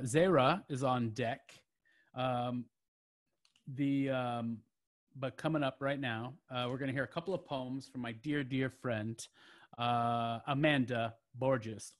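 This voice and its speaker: A man hosting an open mic over a video call, rambling warmly with lots of fillers and restarts. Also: mid-range voice.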